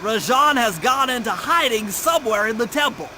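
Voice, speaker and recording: A man's voice: very high voice, expressive, moderate pace, noisy background. A man voicing a brainy, fussy turtle who plans missions in a video game, speaking in precise, wordy explanations. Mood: serious briefing. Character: brainy, fussy nerdy planner turtle, proud strategist. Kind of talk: video game cutscene acting.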